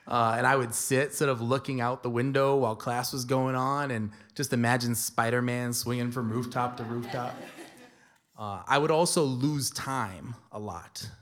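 A man's voice: mid-range voice, fairly even intonation, a little slow, a bit bright. A middle-aged man from New York telling a personal story to an audience, conversational and emphatic, with playful asides. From New York City.

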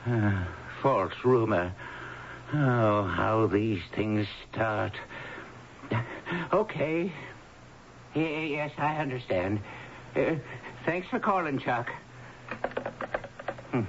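Slow-spoken, anxious, halting father. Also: mid-range voice, lively intonation. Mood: shaken. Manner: halting, hesitant. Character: anxious, protective father of a troubled grown daughter. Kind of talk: old-time radio drama acting. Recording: dull, hissy 1970s radio broadcast.

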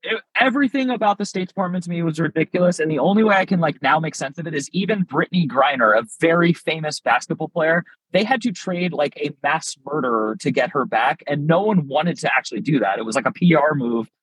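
Husky-voiced man, candid podcast guest. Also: a little high, animated, fast talker, hardly any pauses, fairly bright tone, no room sound, poor audio. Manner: candid, rambling, frequent "like" fillers. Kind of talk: casual podcast interview.

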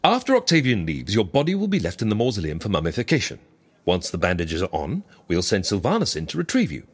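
A dry, formal fixer in a comedy audio drama. The voice is husky and swings very widely in pitch, selling schemes in a measured, businesslike way. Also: huge pitch swings, heavily acted. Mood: confident, efficient. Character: wry, businesslike fixer who arranges faked deaths for clients. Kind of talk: comic audio drama acting.

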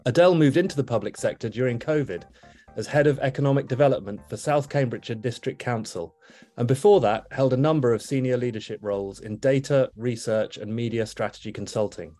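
A man hosting a business interview podcast, reading a formal introduction and then asking relaxed follow-up questions. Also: mid-range voice, fairly quick, soft background music. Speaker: podcast host.

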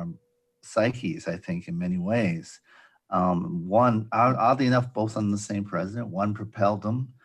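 A man hosting an interview, introducing his guest and asking questions in a halting way, with many ums and restarts. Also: on the low side. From Providence. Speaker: podcast host.

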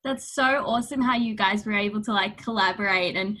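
A woman's voice: medium pitch, fairly quick, sharp tone. A woman hosting an interview podcast, speaking in an upbeat, enthusiastic way. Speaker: podcast host.

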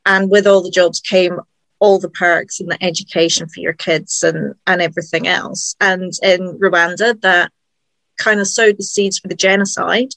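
A woman's voice: on the low side, steady tone, moderate pace, vocal fry, fairly bright tone, no echo, low-quality recording. A woman asking thoughtful questions in a casual interview conversation.

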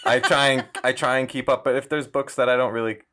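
A man chatting casually on a podcast in a low-key, slightly hesitant way. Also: steady tone, fast, fairly bright tone. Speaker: podcast participant. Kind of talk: casual chat.

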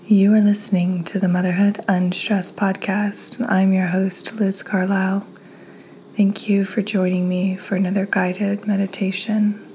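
Slow, soft-spoken woman guiding a meditation. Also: medium pitch, a little hiss or hum. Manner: calm, soft, gentle, often breathy near-whisper. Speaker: podcast host, meditation guide. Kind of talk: guided meditation, slow and soothing.

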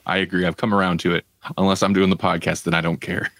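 A man's voice: deep voice, little pitch movement, quick pace, fairly bright tone, dry recording. A man hosting a podcast, chatting loosely with friends and laughing often. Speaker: podcast host. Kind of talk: casual chat.

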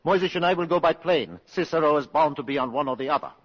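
Guy acting a cold nazi colonel, husky n kinda high, bossy, old radio play. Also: fast talker, natural voice. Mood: commanding. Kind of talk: 1950s radio drama acting. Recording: dull old radio, long hall reverb.

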